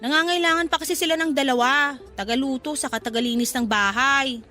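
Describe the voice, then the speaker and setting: A woman's voice: high voice, brisk, pushed and bright. A woman playing a gossipy, pragmatic young shop co-worker in a radio drama, talking in a sassy, slangy way. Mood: persuasive.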